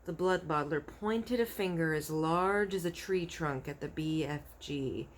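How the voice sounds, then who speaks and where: A woman with a fairly low voice reads a children's story aloud. Her narration is expressive, in the manner of a storybook read-aloud. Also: unhurried, slight background noise. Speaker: storybook reader.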